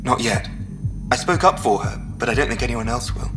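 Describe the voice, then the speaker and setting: A man acting a remorseful programmer in a sci-fi game. His mid-pitched voice is subdued and regretful, with music clearly behind it. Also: lively intonation, a little fast, natural voice. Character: remorseful young male programmer on supervised work release. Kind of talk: dramatic game dialogue.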